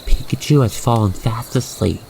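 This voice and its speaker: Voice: mid-range voice, normal speed, gravelly. A narrator telling a second-person ASMR roleplay story in a wordy, playful storybook manner. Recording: faint background noise, cricket night ambience.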